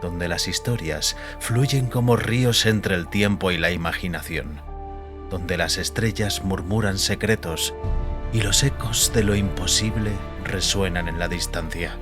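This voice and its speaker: Low-voiced man narrating fiction over music. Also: a little slow. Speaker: narrator and host of an audio fiction show. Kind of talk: scripted dramatic audiobook narration. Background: background music.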